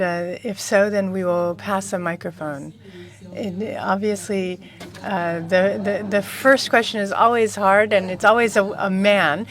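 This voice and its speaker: A woman working as a conference interpreter, rendering a panel discussion in a measured, formal first-person voice from a booth microphone. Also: medium pitch.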